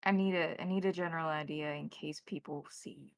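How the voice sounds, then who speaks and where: A woman voicing a gruff, blunt male police colleague on a phone call in a tabletop roleplaying game. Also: deep voice, steady tone, no room sound. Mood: guarded, helpful. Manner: swearing, blunt, halting cop talk.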